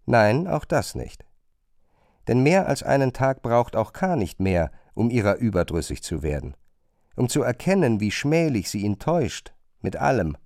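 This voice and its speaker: Male narrator for literary radio drama, mid-pitched, highly varied intonation, very dry recording. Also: vocal fry, darkish tone, dry recording.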